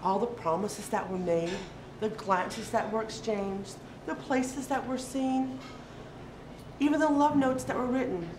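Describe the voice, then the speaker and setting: A woman giving a dramatic reading of a literary piece, deliberate, with pauses between short phrases. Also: on the high side, fairly even intonation. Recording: echoey room with background noise.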